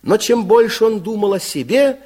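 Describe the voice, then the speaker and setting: A man's voice: high-pitched, lively intonation, moderate pace, a little mellow. A man narrating a radio fairy tale with theatrical, dramatic storytelling.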